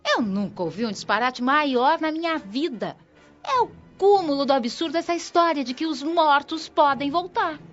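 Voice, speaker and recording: An actress with a Brazilian accent playing a mocking, disdainful, indignant sister in a radio soap opera. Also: female voice, high voice, lively intonation, normal speed, a bit bright. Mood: scornful. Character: scornful, mocking daughter deriding her sister's beliefs. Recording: muffled, dull audio.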